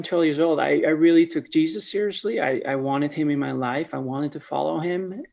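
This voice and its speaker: A man from the Netherlands, telling a personal story as an interview guest in a halting, think-aloud way. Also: on the high side, vocal fry. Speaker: podcast guest, ministry leader.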